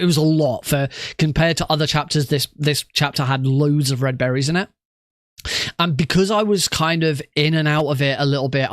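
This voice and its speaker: A man's voice: on the high side, dry recording, studio quality. Sniffly man chatting on stream. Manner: rambling, many restarts and ums. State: congested, coughing. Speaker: streamer and marathon organiser. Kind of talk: casual chat to stream viewers.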